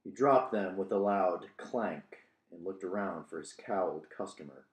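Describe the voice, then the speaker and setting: Low-voiced man in an echoey room. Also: unhurried. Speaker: author reading own book, host. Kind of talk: audiobook narration with casual commentary. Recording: roomy, echoing room sound.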